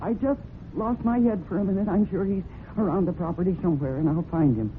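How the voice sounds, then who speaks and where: A woman's voice: fairly low pitch, fast, natural voice. An actress playing an anxious, pleading young woman who owns a horse, in earnest old-time radio drama style. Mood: flustered. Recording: muffled, noisy vintage radio broadcast.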